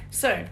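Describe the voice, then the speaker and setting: A young woman hosting an interview, playful and teasing, giggling between her questions. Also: fairly bright tone. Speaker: podcast host. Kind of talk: casual interview chat. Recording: echoey room.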